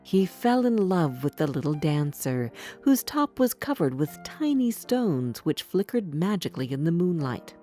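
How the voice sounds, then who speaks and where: A woman with a fairly low voice reads a children's fairy tale. Her storytelling has a very animated melody, and soft music plays behind her. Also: unhurried, hardly any pauses. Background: background music.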